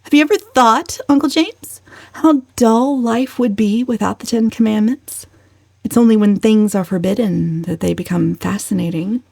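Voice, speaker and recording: A brazen young woman from a dramatized novel, voiced by a female reader. Her voice sits high and lands each retort with biting emphasis. She is sharp-tongued and scornful, in a dry, close sound. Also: moderate pace, vocal fry, no room sound. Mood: brazen, cool.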